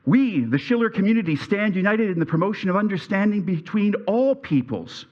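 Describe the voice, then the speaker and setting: Man, Pennsylvania Dutch accent, middle-aged, nervous and stumbling, fairly high voice, dull sound. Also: very animated, a little fast. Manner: nervous, stumbling over words. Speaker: alumni speaker. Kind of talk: prepared speech at a reunion. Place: reunion event hall.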